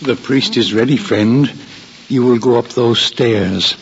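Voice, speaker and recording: A helpful, courteous temple attendant talks slowly in a very rough, husky voice. It sounds like 1950s radio drama on a dull old recording. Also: male voice, mid-range voice, heavily acted.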